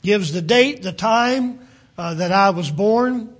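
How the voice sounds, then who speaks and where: An older male preacher with a West Virginian accent and a high, husky voice. He talks haltingly, stopping often to search for words. His delivery is unhurried and sincere. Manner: halting, many fillers and restarts. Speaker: pastor. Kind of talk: sermon.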